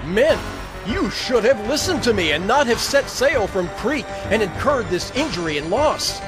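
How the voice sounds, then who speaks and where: Impassioned high-pitched apostle urging his men. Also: big pitch swings, normal speed. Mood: urgent, encouraging. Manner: emphatic, exhorting, oratorical. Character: apostle Paul, earnest man urging fearful shipmates. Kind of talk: dramatized scripture audio drama acting. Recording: music bed under the voice.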